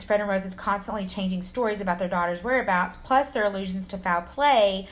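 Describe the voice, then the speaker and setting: A woman from Texas with an American accent, co-hosting a podcast, reading a story in an informal way with casual asides and stumbles. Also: medium pitch. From Texas, United States. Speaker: true crime podcast co-host.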